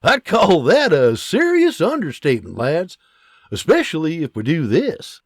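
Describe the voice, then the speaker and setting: A man voicing a warm, joking farmer father in an audiobook, with a hearty teasing manner. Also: a little high, wildly swooping pitch, unhurried, dry recording, clean studio sound, pitched above the performer's own voice, exaggerated voice.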